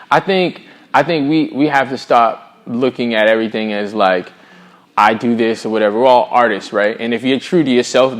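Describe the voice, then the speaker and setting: A middle-aged man from Boston with an American accent, speaking as a singer-songwriter in a casual interview, earnest and reflective with plenty of slang. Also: lowish voice. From Boston, USA.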